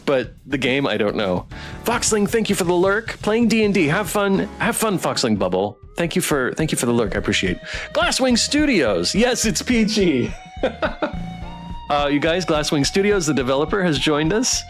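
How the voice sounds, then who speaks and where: A man livestreaming a visual novel, reacting and reading aloud in a playful, expressive way. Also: a little high, normal speed, soft background music. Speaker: streamer playing a visual novel.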